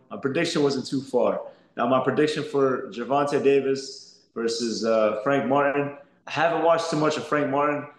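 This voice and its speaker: A young man, a professional boxer, giving earnest interview answers that stumble and repeat words often. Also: mid-range voice. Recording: roomy echo.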